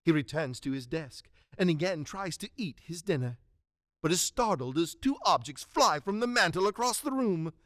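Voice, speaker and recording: A man's voice: on the high side, expressive, moderate pace, fairly bright tone, no echo, studio quality. A man reading dramatic scripted narration for a radio drama.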